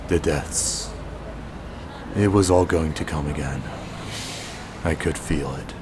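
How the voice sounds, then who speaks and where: An actor playing a weary, bitter officer who narrates an animated drama in a brooding, scripted noir manner. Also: male voice, a bass voice, slow, background noise. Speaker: narrator and main character.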